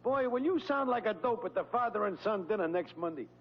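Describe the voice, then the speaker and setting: A man's voice: high voice, lively intonation, brisk. An actor playing a smug, boastful middle-aged American neighbor and proud father, gloating and needling in a 1940s radio comedy. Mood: mocking, needling. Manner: gloating, mocking. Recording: muffled old-time radio recording with hum.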